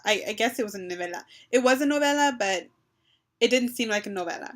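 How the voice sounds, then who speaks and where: A woman's voice: a little high, lively intonation, quick pace. Woman, 20s, from Texas, casual webcam vlog, filler words, Spanish phrases mixed in, small bedroom. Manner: chatty, frequent "um" and "like", mixes in Spanish phrases. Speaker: vlogger. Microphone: built-in webcam mic, close.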